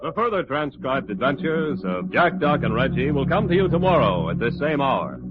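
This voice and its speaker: A man's voice: medium pitch, sing-song, moderate pace, heavy background noise. A man announcing a radio serial in a scripted 1940s broadcast style. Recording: dull old radio broadcast recording.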